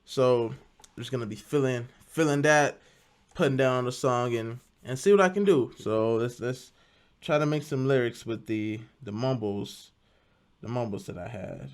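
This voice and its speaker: A young man talking casually through his home music production process, relaxed and self-deprecating, often restarting words. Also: medium pitch, measured pace, clear, resonant tone. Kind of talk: casual tutorial talk.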